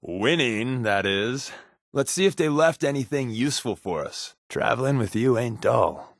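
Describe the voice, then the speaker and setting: Wry wasteland spy companion, video game lines, lively swooping pitch, sardonic quips, very dry close sound. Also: male voice, unhurried, gravelly, dry recording. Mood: wry, animated. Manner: flippant, sardonic, conversational quips. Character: wisecracking, wry male spy companion in a post-apocalyptic wasteland.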